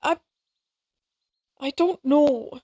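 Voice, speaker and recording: A tender, anxious young mother played by a woman in a cinematic audio drama. Her voice is high and she takes her time with each phrase. She comes across as hesitant and wistful. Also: extremely slow, warm tone. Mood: hesitant, shy. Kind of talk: cinematic audio roleplay acting.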